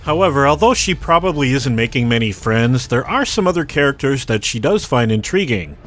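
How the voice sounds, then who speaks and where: A man's voice: mid-range voice, lively intonation, a little fast, a bit bright. A man reading scripted video commentary as a narrator. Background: background music.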